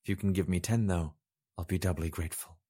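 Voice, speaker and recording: A man voicing a composed woman assassin who speaks in a calm, wry way. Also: low voice, fast. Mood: cool.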